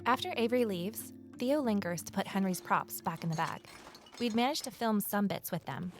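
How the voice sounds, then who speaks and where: A woman's voice: medium pitch, lively intonation, normal speed, soft background music, close to an everyday voice. A woman playing an earnest, stubborn young amateur sleuth in an audio drama, narrating in a natural, conversational, wry way. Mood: wry, discouraged. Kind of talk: first-person audio drama narration and dialogue.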